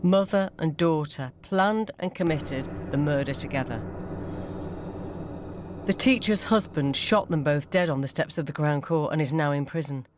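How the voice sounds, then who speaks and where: A woman reading a first-person ghost story as scripted dramatic narration, measured and suspenseful. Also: sits low, background noise. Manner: suspenseful, measured, acts out dialogue.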